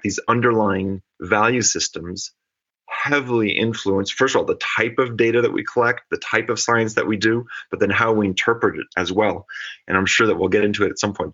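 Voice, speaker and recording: A middle-aged man from California, an ecologist talking thoughtfully in a podcast interview, with measured pacing and occasional restarts. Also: fairly low pitch, a bit bright, slightly rough. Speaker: ecologist guest. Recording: dull lo-fi audio.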